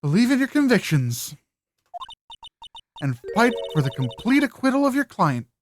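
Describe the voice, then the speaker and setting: A woman playing a calm, confident mentor lawyer in a fan voice-over of a visual novel, speaking in a measured, instructive way. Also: a little high, soft background music. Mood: firm, encouraging.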